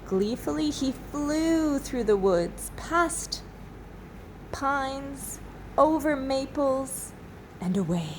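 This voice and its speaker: A woman teacher reading a children's storybook aloud expressively, with dramatic pauses. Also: high voice, lively intonation, noisy background. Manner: slow, dramatic pauses, asks listeners questions.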